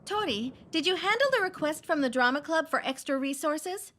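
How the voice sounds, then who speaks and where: A woman's voice: high voice, animated, normal speed, noisy background. A woman playing a businesslike student council girl in an anime dub. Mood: firm, businesslike. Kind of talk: English anime dub acting.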